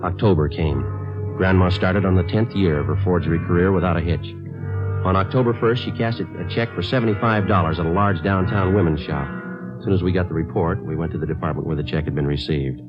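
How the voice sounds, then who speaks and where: An actor playing a terse, businesslike American police detective narrating his case deadpan in a 1950s radio drama. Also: deep voice, brisk, lightly acted. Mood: clipped, factual. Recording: muffled old radio recording with music.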